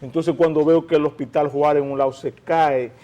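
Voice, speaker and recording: A man's voice: a little high, brisk, highs cut off. A middle-aged Dominican man hosting a radio talk show, telling stories with energy and talking over his co-hosts. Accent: Dominican Spanish. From Dominican Republic. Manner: animated storyteller, talks over others. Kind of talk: casual panel chat.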